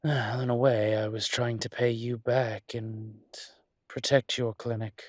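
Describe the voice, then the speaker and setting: A man playing a teasing, smug but protective dragon shifter mafia boss in an intimate roleplay audio, speaking in a measured, deliberate way with dramatic pauses. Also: medium pitch, little pitch movement, no room sound, studio quality, close to an everyday voice. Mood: fond exasperation.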